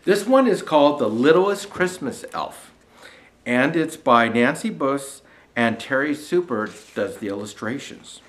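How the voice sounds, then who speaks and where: A man's voice: mid-range voice, very animated, measured pace, fairly bright tone. An older man reading a children's storybook aloud in a folksy way, speaking directly to young listeners as a Santa storyteller. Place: small indoor decorated studio set.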